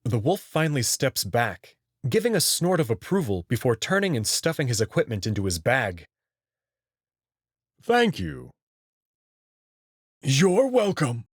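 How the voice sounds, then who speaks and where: Expressive man narrating, husky voice. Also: medium pitch, fairly quick, no echo, studio quality. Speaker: narrator and channel host. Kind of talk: expressive scripted story narration.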